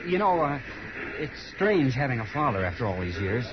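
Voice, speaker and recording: Actor as a polite, hesitant young city gentleman. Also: medium pitch, big pitch swings, moderate pace, music in the background, natural voice. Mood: hesitant, hopeful. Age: 20s. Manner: formal, hesitant, courteous. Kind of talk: 1950s radio drama acting. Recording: dull, noisy 1950s radio broadcast.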